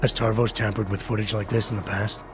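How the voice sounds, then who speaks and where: An actor playing a stern, composed agent in a video game, questioning a suspect in a controlled, probing way. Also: male voice, on the low side, level tone, quick pace, music in the background, close to an everyday voice. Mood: firm, reasoning. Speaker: investigator. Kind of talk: video game dialogue acting.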